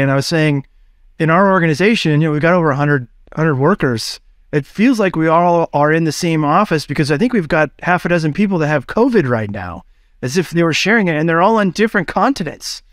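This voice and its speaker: A man hosts a business podcast in a lively, chatty way, his voice sitting a little high. He jumps quickly between thoughts with casual fillers. The recording is dry and close, with no room echo. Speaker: podcast host.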